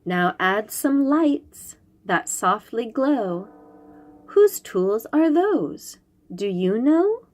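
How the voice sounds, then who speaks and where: Slow, animated woman reading to children. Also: fairly high pitch. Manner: instructive, questioning, enunciates key words for small children. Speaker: host reading picture books aloud to young children. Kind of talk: read-aloud storytime with simple explanations.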